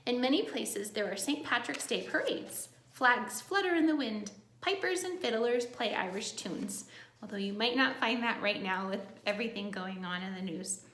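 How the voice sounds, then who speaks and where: A woman's voice: on the high side, lively intonation, moderate pace, fairly bright tone, reverberant, slight background noise. A woman teacher reading a picture book aloud to young children, adding simple, encouraging asides as she goes.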